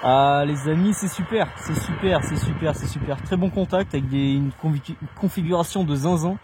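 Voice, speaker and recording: High-voiced French man talking on CB radio. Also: background noise. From France. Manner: halting, repeats words. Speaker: amateur CB radio operator. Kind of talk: radio contact exchange.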